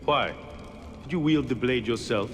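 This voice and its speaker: A man's voice: medium pitch, moderate pace, close to an everyday voice. Male council member, acted: grave interrogator for game drama, reverberant, faint noise behind. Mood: grave, probing. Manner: formal, questioning. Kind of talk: dramatic video game acting.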